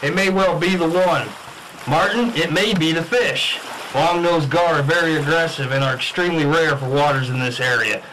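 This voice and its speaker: A man's voice: a little high, moderate pace, sharp tone, slight background noise, poor audio. A man playing a methodical, sceptical fish scientist in a radio drama spoof. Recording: music behind the voice.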